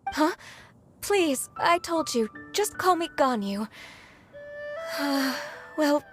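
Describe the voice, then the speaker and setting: An actress voicing a shy, polite young woman with horns, who speaks softly and hesitantly. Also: high voice, animated, very slow, over music, full character voice. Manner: soft-spoken, hesitant, polite.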